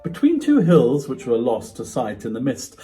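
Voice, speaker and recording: A man's voice: mid-range voice, huge pitch swings, normal speed. A man playing a tormented, unravelling man in a dramatic, intense reading of a classic ghost story. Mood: relieved, marvelling. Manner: theatrical, intense, swinging from hushed dread to agitation. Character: frightened, tormented man slowly unravelling, confessing his night terrors. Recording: music behind the voice.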